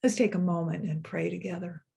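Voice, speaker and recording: An older woman giving a sermon as senior minister, earnest, repeating phrases and slowing down for emphasis. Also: lowish voice, steady tone, creaky, warm tone, breathy edge.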